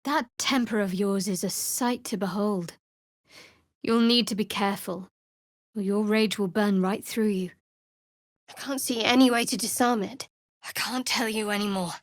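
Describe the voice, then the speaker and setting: A woman voicing a guarded, wry young female cleric of a dark goddess in a fantasy video game, speaking in a measured, dry, sardonic way. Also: medium pitch, fairly even intonation, no room sound, lightly acted.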